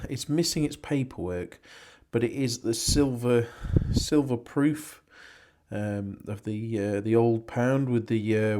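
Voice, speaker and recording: An English man, hoarse and losing his voice, chatting casually in a rambling, self-correcting way about antiques he picked up. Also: medium pitch, a little mellow. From England. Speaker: antiques reseller vlogger. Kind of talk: casual vlog chat.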